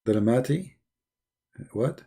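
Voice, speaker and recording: Male livestream host, gravelly and mellow-toned, rambling mocking banter. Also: mid-range voice, lively intonation, slow. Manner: mocking, rambling, joking asides. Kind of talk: casual livestream chat.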